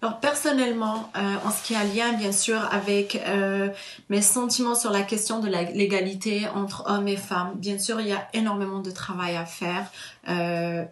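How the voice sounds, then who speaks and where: A woman's voice: mid-range voice, steady tone, normal speed, echoey room. A woman in her thirties, originally from Morocco, giving a personal testimony in an earnest way with many hesitations and fillers. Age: 30s. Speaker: interviewee.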